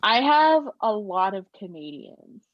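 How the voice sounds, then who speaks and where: A woman's voice: medium pitch, very animated, unhurried, dry recording, highs cut off. A young woman in her twenties, a podcast guest chatting casually with lots of fillers and laughter, with a slightly nasal voice. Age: 20s. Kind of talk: casual chat.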